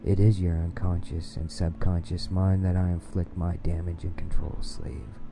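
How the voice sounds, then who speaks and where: A man delivering a scripted domination hypnosis monologue in a commanding, domineering, deliberately repetitive manner. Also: low-pitched, little pitch movement, gravelly, music in the background.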